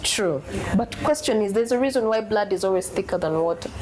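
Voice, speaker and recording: Strong-voiced woman speaking with deep feeling. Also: animated, fairly quick, crowd noise. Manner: emphatic and heartfelt, repeating words when moved. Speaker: interview guest. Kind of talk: candid conversational interview.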